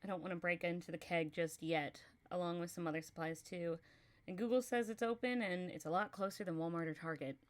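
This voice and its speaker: A woman's voice: lowish voice, steady tone, a little fast. A young woman from Texas with a Texan accent, reading a story aloud on a livestream and chatting casually, laughing often.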